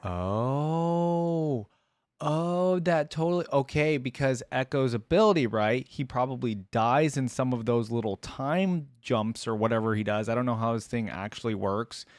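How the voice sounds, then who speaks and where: A man's voice: medium pitch, measured pace, smooth, no room sound. Musing male reactor, close dry sound. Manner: musing, repeats phrases back to himself. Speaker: reaction video host. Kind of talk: casual commentary reacting to game voice lines. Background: music.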